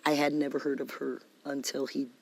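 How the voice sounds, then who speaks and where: A woman musician, the guest, telling stories in a casual interview, animated and laughing, often saying "man". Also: very deep voice, a little fast, creaky, slightly rough, rough recording.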